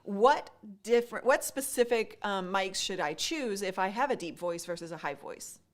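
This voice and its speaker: A woman's voice: mid-range voice, animated, moderate pace. Mid-range female co-host, chatty and questioning, casual delivery. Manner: conversational, asks questions, many fillers. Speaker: streaming show co-host. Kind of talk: casual tech discussion.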